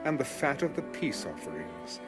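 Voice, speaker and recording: A man narrating scripture for an audio Bible in a measured, formal, scripted style. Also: medium pitch, very animated. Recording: music under the voice. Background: music.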